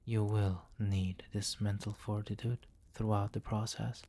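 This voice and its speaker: A man voicing a possessive, seductive vampire king in an intimate ASMR roleplay, speaking formally and deliberately and often dropping into a whisper. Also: fairly low pitch, little pitch movement, mellow. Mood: stern, seductive. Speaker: audio roleplay performer.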